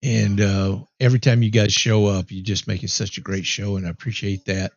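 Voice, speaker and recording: A man hosting a race broadcast in a casual chat, easygoing and upbeat, his voice going hoarse. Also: fairly low pitch, flat delivery, a little fast, no echo. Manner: easygoing, upbeat, rambling with fillers. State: losing his voice, clearing throat. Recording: dry, dull lo-fi mic.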